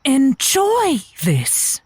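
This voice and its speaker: A woman's voice: high-pitched, huge pitch swings, extremely slow, a little mellow, no room sound, clean studio sound, full character voice. A woman playing a chatty radio call-in host in an audio comedy drama, a coaxing, exasperated peacemaker with an emphatic way of talking. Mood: delighted, knowing.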